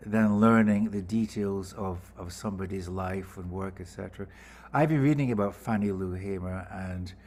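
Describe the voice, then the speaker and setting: A man's voice: on the low side, a little hiss or hum. A man in his fifties with a Scottish accent, a composer speaking earnestly at a gala and repeating words as he thinks.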